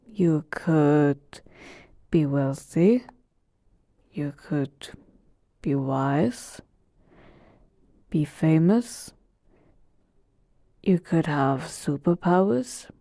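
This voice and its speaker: Woman playing an unhurried, mellow, ancient genie. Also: low-pitched, lively intonation, heavily acted. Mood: patient, musing. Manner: shifts between grand servitude and casual sass. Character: ancient, weary yet playful genie of the lamp. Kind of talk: roleplay audio acting addressed to the listener.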